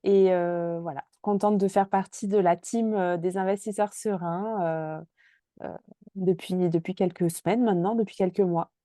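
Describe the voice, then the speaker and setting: A woman's voice: lowish voice, fairly even intonation, moderate pace, mellow, dry recording, studio quality. A woman in her twenties from Marseille, talking hesitantly with many fillers as a podcast guest. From Marseille, France. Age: 20s. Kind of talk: casual interview chat.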